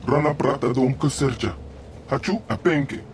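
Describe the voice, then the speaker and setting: An actor playing a stubborn alien guide in a video game, speaking an invented tongue in an emphatic singsong. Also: male voice, mid-range voice, normal speed, slight background noise, lightly acted. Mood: agitated, stubborn.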